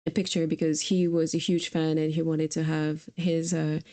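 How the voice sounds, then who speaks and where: A woman's voice: low-pitched, level tone, normal speed, mellow. A woman streamer chatting casually about video games in a conversational, easygoing way. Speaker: streamer host.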